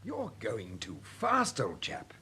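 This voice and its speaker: An actor playing a good-hearted, plain-spoken young student with an upper-class English accent in a period radio drama. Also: male voice, on the low side, huge pitch swings, takes it slowly, sharp tone, slightly rough, heavily acted. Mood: concerned, chiding. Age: young adult.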